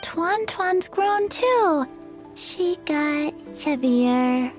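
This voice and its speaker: Very slow, deadpan little girl. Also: high voice, heavily acted. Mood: earnest. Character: small undead girl, forgetful, earnest and flat. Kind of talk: video game voice acting. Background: children's music.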